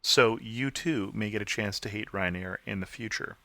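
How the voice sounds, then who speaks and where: A man hosting a podcast, presenting and interviewing in a clear scripted-radio style. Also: fairly low pitch, moderate pace, pushed and bright, no echo. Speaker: podcast host.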